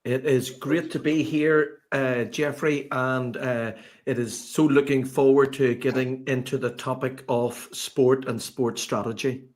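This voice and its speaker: A man's voice: medium pitch, little pitch movement, a little slow, hardly any pauses. Chatty Belfast man. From Northern Ireland. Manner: chatty, with many fillers and restarts. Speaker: sports consultant guest. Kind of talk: podcast interview.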